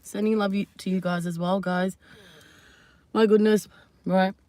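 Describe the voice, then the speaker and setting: A woman hosting her own channel, chatting casually and at length to her viewers, slightly hoarse, rambling and laughing often. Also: mid-range voice, flat delivery, unhurried, a little mellow. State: hoarse from screaming. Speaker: channel host. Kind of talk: casual live vlog chat to viewers.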